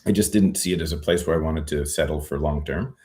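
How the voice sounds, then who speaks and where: Deep-voiced man, reflective and meandering. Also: quick pace, warm tone. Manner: reflective, many fillers and restarts. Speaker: guest, retired immigration lawyer. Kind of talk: conversational interview.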